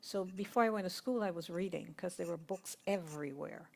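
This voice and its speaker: Woman from Guyana with a lowish voice. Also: a little fast, gravelly. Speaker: congregation member sharing. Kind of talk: reflective sharing in a discussion circle. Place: spiritual centre gathering.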